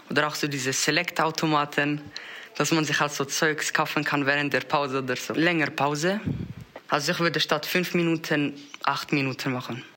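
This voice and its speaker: Bright-voiced teenage boy. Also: fairly high pitch. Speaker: student interviewee. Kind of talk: casual interview answer.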